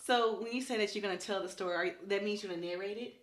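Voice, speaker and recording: A woman's voice: medium pitch, very fast, echoey room. A woman co-hosting a podcast in casual, colloquial conversation. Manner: colloquial, informal. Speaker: podcast co-host. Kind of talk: casual chat.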